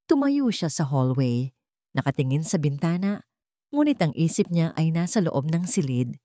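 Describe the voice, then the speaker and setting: A woman's voice: low-pitched, very animated, normal speed, mellow, dry recording, clean studio sound. A woman with a Filipino accent narrating a scripted dramatic story as an audiobook.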